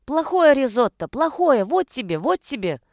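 A woman voicing a stubborn, excitable little boy in an audiobook, loud, childish and defiant. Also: high voice, moderate pace, no echo, clean studio sound, voice pushed up. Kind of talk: audiobook character voice.

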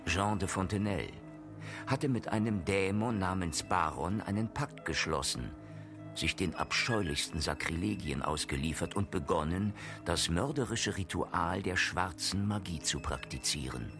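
An actor playing a grave male chronicler, narrating dark history solemnly in a radio drama. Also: low voice, moderate pace. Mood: ominous. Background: music.

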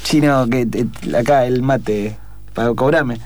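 A man's voice: medium pitch, measured pace, a little mellow, lightly acted. A man voicing a scatterbrained, easygoing young hippie with a Buenos Aires accent, chatty and exclamatory in improvised radio comedy. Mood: casual, dismissive. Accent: Argentine Rioplatense. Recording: guitar music under the voice. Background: clear guitar music.

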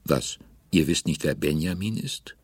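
Slow, deep, husky children's storyteller. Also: male voice, animated, no room sound, clean studio sound, exaggerated voice. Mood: friendly, storytelling. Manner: gentle, unhurried storytelling to children. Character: friendly storyteller of a children's talking-elephant series. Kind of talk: children's audio play narration.

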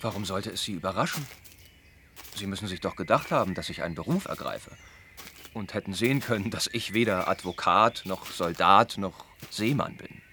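An actor playing an earnest, courteous young gentleman bound for the church, speaking formally in a radio drama. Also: low-pitched, expressive, normal speed, slight background noise, heavily acted. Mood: matter-of-fact. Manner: formal, courteous period speech.

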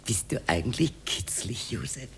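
An actress playing a tender, teasing, lonely older widow in a radio drama. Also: female voice, very deep voice, expressive, moderate pace, a bit bright, slight background noise, full character voice. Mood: soothing, tender. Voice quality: breathy, often half-whispered. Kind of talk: intimate radio drama acting. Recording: 1980s studio radio play.

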